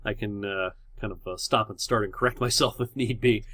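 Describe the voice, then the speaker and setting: Hoarse man giving a casual forecast. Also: fairly low pitch, brisk, dry recording, studio quality. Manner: relaxed, unscripted, frequent "uh" fillers and restarts. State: hoarse from a cold and sore throat. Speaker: TV meteorologist. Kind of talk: casual weather forecast video.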